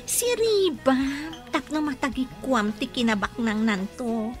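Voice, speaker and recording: A woman's voice: a little high, sing-song, unhurried, faint music behind. An actress with an Ilocano Filipino accent playing an easygoing young woman confiding in her best friend in a radio comedy drama.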